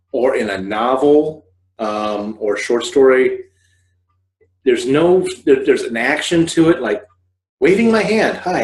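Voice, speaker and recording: Casting: man, forties, mid-pitched, casual explainer who wanders and backtracks, recorded at home with roomy echo. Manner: rambling, explanatory, with self-corrections. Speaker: host of a scriptwriting video podcast, fiction writer. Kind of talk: informal instructional talk to camera. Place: living room couch, indoors.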